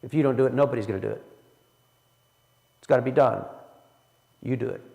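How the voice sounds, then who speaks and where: A man's voice: medium pitch, fairly quick, vocal fry, mellow, in a large hall. A man leading a church Bible study in a folksy way, asking the room questions as he teaches. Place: church hall.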